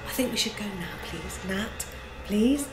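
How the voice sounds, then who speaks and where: A woman's voice: lively intonation, a little slow, music in the background, close to an everyday voice. Woman doing a squealing scared young boy. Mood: panicked. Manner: high, squealing and pleading. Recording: roomy with background noise.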